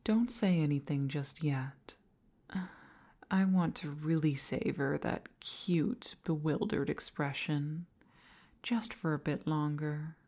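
Woman acting a low, slow, possessive seductress boss. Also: darkish tone, full character voice. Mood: coy, seductive. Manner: breathy half-whispers, drawn-out coaxing, condescending sweetness. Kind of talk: intimate romantic audio roleplay.

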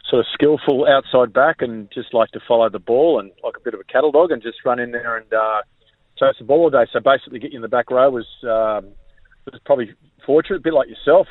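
Relaxed Australian man on a tinny phone line. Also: medium pitch, no room sound. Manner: relaxed, rambling, full of ums. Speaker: guest, former rugby league player. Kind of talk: casual interview.

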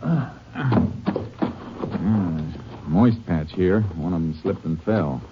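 Shrewd Texas Ranger, very deep voice, American, terse, authoritative, old radio drama, dull vintage sound. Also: male voice, expressive, slow pace, very noisy. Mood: focused, watchful. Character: stern, shrewd male Texas Ranger, a seasoned tracker. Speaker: lead actor and narrator. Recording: muffled early-1950s radio recording with music.

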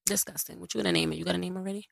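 A woman co-hosting a podcast in casual chat, lively and slangy, laughing often. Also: lowish voice, very fast, creaky, no room sound, clean studio sound. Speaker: podcast co-host.

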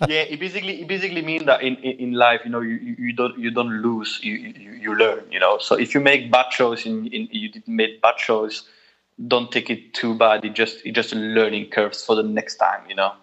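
A Belgian man, a native French speaker with a Belgian French accent, chatting as a guest musician in an interview, halting and restarting often. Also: mid-range voice, fairly even intonation, a bit bright, highs cut off. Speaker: guitarist, guest. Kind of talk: casual interview chat.

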